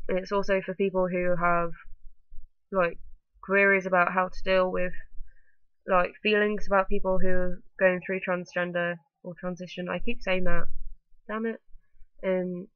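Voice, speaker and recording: A woman with a blocked-up nose from a cold chats to her camera in a loose, rambling way, filling pauses with ums and likes. Her delivery stays fairly flat and even. The sound is dry and a little dull, like a cheap webcam mic. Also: fairly low pitch, no echo. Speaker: vlogger. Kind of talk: casual talking-to-camera vlog.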